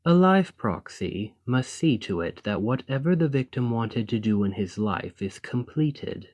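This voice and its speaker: A man's voice: medium pitch, animated, darkish tone. A man playing an ambitious foreign noble in a fantasy audio roleplay, speaking in a formal, composed, courteous and persuasive manner. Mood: formal, coolly persuasive. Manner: formal, measured, deliberate, condescendingly sympathetic. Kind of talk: scripted fantasy ASMR roleplay monologue.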